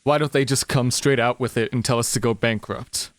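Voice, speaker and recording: A man playing a smooth, cocky middle-aged businessman with a breezy, confident way of talking. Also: mid-range voice, fairly even intonation, brisk, no echo, lightly acted. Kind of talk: fan livedub of a visual novel.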